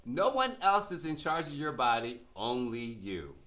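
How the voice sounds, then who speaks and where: A man's voice: mid-range voice, sing-song, a little slow, fairly bright tone. A man narrating a scripted educational puppet show, explaining things simply and emphatically to children.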